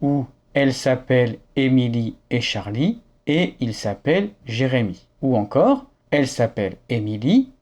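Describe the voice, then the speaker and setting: Lively, mellow-toned male teacher. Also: medium pitch, a little slow, hardly any pauses, dull audio. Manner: clear, patient explanations addressed to the learner. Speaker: French teacher. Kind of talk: scripted lesson narration.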